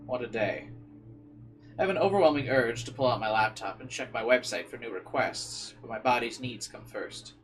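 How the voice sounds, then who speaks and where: A man's voice: medium pitch, normal speed. Man narrating over guitar music. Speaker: streamer reading a visual novel aloud. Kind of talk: dramatic narration with casual streamer asides. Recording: music playing behind the voice. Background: guitar game music.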